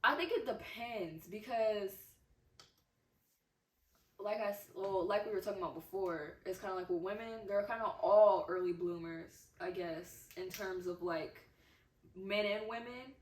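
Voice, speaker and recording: Soft-spoken young woman, echoey room. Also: medium pitch, measured pace. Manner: soft-spoken, filler-heavy, frank. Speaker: podcast co-host. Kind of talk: casual chat. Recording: echoey room, distant mic.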